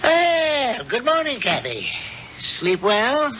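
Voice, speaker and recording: An actor playing a sinister middle-aged warlock who poses as a kindly uncle, grandiose and fussily avuncular, in 1970s radio drama style. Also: male voice, extremely high pitch, wildly swooping pitch, slow, full character voice. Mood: sugary, reassuring. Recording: muffled, noisy vintage radio broadcast.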